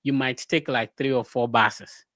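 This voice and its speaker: A man's voice: medium pitch, fairly even intonation, a little slow, sharp tone, no room sound, studio quality. A man hosting a podcast, talking in a loose, rambling way and asking a guest questions. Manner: rambling, many fillers and restarts. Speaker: podcast host. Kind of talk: casual podcast interview.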